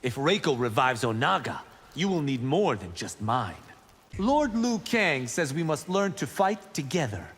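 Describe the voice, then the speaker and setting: A man's voice: fairly high pitch, big pitch swings, unhurried, a bit bright, slight background noise. A man playing a cocky, boastful young Shaolin monk in video game fight banter. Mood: boastful, brisk. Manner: boastful, brash, teasing. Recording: echoing hall reverb.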